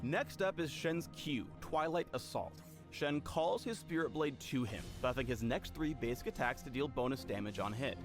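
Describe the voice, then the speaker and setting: Man, mid-pitched, scripted tutorial narration, slangy, playful, clear background music. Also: lively intonation, moderate pace, hardly any pauses. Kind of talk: scripted narration of a game guide.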